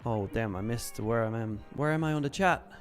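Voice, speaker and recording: Higher-pitched man hosting a casual trading livestream. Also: brisk, soft background music. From Switzerland. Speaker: live trading stream host. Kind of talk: casual livestream chat answering viewers and commenting on trades.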